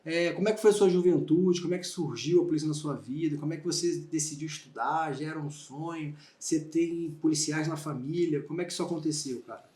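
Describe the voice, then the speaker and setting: A man's voice: fairly high pitch, fast, hardly any pauses, warm tone. A man hosting a podcast, asking friendly, rambling questions. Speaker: podcast host. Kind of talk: casual podcast interview. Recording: roomy echo.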